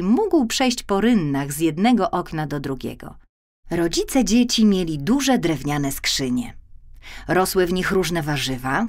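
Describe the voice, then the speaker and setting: A woman's voice: mid-range voice, a little mellow. A woman narrating a children's fairy tale expressively, drawing out key words for emphasis. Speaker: audiobook narrator.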